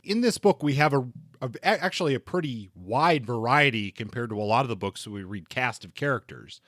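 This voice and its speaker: A man's voice: medium pitch, lively intonation, a little fast, a bit bright, dry recording. Male podcast co-host, mid-range voice, very dry recording, talking books in an exploratory, conversational way. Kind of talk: conversational book discussion.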